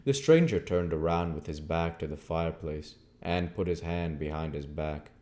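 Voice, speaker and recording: A man's voice: extremely low pitch, moderate pace, darkish tone. A man reading a novel aloud as an amateur storybook narrator. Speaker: audiobook reader.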